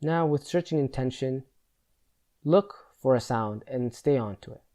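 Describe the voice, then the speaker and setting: Male meditation guide, mid-pitched and warm, dark-toned, with gentle, measured instructional delivery.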